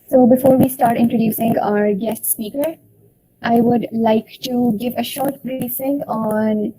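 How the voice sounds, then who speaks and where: A young woman hosting an online student session, speaking slowly and formally as she welcomes guests. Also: fairly high pitch, dark tone, husky, poor audio. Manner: slow, deliberate, formal phrasing. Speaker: event host for a student association.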